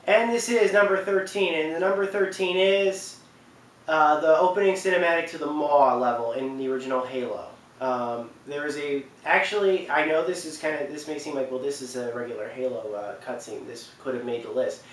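A man in his twenties giving casual, unscripted countdown commentary, halting and rambling, from a couch in a living room. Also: on the high side. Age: 20s. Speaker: video presenter. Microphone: camera mic at a distance. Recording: roomy, distant mic, faint noise.

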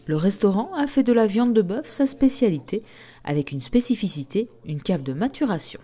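A woman narrates a local news feature in a measured, read-out way. Her voice is mellow and warm at a middle pitch. It was recorded as a clean voice-over. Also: animated. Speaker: news reporter.

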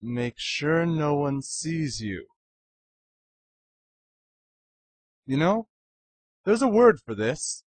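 A man voicing a snarky, excitable young male adventure hero in a parody cartoon, sarcastic and ranting theatrically. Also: fairly high pitch, slow pace, no room sound, exaggerated voice. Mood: smug. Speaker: amateur voice actor in a parody series. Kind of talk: comedic abridged-series cartoon dubbing.

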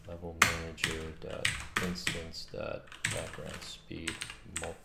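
Deep, creaky-voiced man muttering while coding. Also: monotone, takes it slowly. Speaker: game developer streaming. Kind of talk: casual think-aloud coding commentary. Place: indoor home room at a desk. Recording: roomy, loud keyboard typing noise. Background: keyboard typing and clicks.

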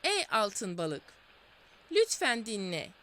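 A woman's voice: mid-range voice, wildly swooping pitch, slow, pushed and bright, heavily acted. A woman voicing a meek, hesitant fisherman in a storybook reading, speaking in a timid, pleading way.

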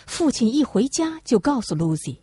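A woman's voice: a little high, big pitch swings, normal speed, mellow, husky, dry recording. A woman reading a novel aloud as a scripted audiobook narration.

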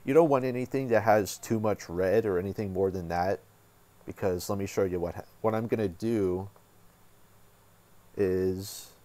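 Low-pitched man, casual tutorial host. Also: moderate pace, mellow. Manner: informal, thinking aloud while working. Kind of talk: casual screen-recorded software tutorial.

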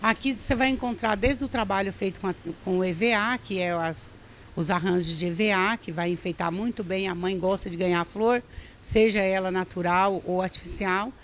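A woman's voice: medium pitch, moderate pace, slight background noise. A middle-aged woman who organizes a craft association, interviewed for TV news at an indoor craft fair on a handheld mic, talking in a rambling way with many restarts. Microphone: handheld reporter mic.

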